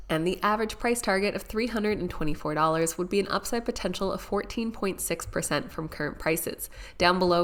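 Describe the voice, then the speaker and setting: A woman hosting a stock market video, speaking in a nasal, congested voice from a head cold as she presents a scripted financial rundown. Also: lowish voice. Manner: steady, informative delivery of figures.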